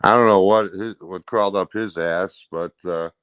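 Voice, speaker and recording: A man with an American accent chats casually in a smooth, fairly low voice. He rambles and puts things bluntly. The sound is dry, with little room in it. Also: steady tone, moderate pace, a bit bright, no echo. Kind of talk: casual chat.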